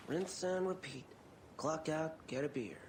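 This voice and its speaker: A man's voice: on the high side, slow pace, background noise. A man playing a resigned, tired working man muttering to himself in a video game. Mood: weary. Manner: sighing, resigned.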